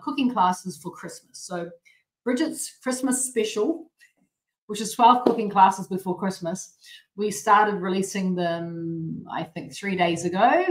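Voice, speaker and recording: A woman's voice: medium pitch, roomy sound, low-quality recording. An Australian woman in her late forties hosting a healthy cooking show, chatting in a bubbly, rambling way with lots of restarts and laughs. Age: late 40s. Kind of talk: chatty live-stream greetings and updates.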